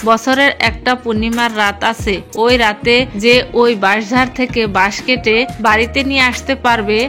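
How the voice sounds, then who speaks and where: A woman playing a storytelling mother and narrator in a cartoon fairy tale, with an expressive delivery. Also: a little high, moderate pace, faint music behind, close to an everyday voice. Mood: wistful storytelling.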